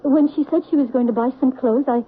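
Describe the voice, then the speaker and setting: Nervous flustered mom, highish voice, thin phone-like sound. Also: female voice, fast, slightly rough. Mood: defensive. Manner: flustered, pleading. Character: anxious, flustered middle-aged mother. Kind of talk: 1970s radio drama acting. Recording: tinny old radio broadcast.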